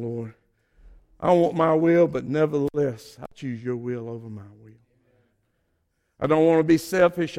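An older man preaching a sermon, his voice hoarse, building to shouted emphasis. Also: sits high, big-room sound.

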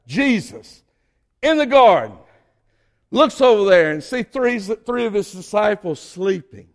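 Hoarse older preacher in a reverberant hall. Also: male voice, sits high, big pitch swings, a little slow. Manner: preaching with rising shouted emphasis. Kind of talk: sermon.

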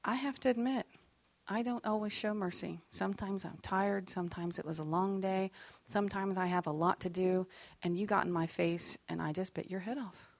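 Animated woman leading a faith workshop. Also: mid-range voice, moderate pace, dry recording. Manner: animated and expressive, acting out quoted lines; chatty and self-deprecating, with asides to the group. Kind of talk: teaching a faith workshop to a group.

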